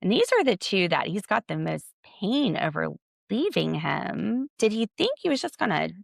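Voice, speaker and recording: An American woman hosting a podcast, giving casual, opinionated commentary in an animated, sarcastic, slangy way. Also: mid-range voice, moderate pace, gravelly, no room sound. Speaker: podcast host.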